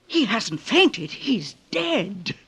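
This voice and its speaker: Husky-voiced, dutiful housekeeper. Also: female voice, a little high, big pitch swings, very slow, exaggerated voice. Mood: shocked. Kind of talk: old-time radio drama acting.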